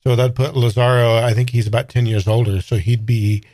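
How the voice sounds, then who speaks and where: A man's voice: medium pitch, flat delivery, brisk, a little mellow, dry recording. A man talking casually as a player in a tabletop game, improvising what his character does.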